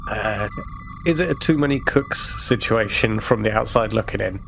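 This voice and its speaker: Gravelly guy playing a tabletop rpg, casual chat, noisy background. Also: fairly low pitch, moderate pace. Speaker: tabletop game player. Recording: clear background noise and ambience.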